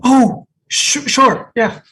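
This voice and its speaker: Woman playing husky, slow-talking café customer Noah. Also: high voice, fairly bright tone, full character voice. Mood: awkward. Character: eager, awkward male café customer, Noah. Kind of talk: comedy script table read.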